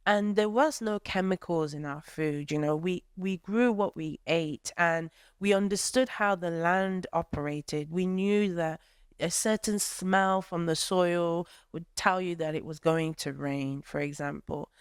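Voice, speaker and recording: A woman's voice: on the low side, unhurried, clear, resonant tone, dry recording, clean studio sound. A woman from Nigeria who is an artist, answering a workshop question in a thoughtful way with many fillers. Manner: thoughtful, many fillers, acts out friends' voices.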